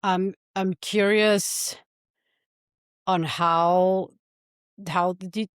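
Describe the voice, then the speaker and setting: A woman's voice: on the low side, steady tone, drawn-out words, clear, resonant tone, no echo, studio quality. A woman hosting a podcast, asking thoughtful interview questions. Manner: thoughtful, with short affirmations. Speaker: podcast host.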